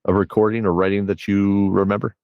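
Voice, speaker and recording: A man's voice: lowish voice, little pitch movement, fairly quick, vocal fry, dry recording, dull audio. A man hosting a casual podcast interview, chatting conversationally with his guest. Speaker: podcast host and producer.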